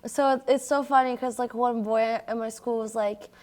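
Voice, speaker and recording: A school-age girl from Brazil, native in Portuguese, speaks in a fairly high voice. She chats casually, circling through her stories with youthful fillers and halts. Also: fairly even intonation, normal speed, a little mellow. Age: child or young teen. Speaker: young newcomer sharing experiences. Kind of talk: casual chat.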